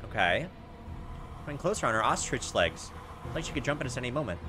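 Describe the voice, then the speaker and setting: A man's voice: mid-range voice, big pitch swings, brisk. A man giving casual playthrough commentary on a game, thinking aloud and reading on-screen text as he goes. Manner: thinking aloud, talking to himself. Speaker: gaming video host. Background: game music.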